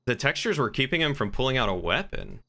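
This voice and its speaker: A man's voice: mid-range voice, sing-song, quick pace, sharp tone. A man streaming a video game, chatting casually and musing aloud to himself as he plays. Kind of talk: casual livestream chat while gaming.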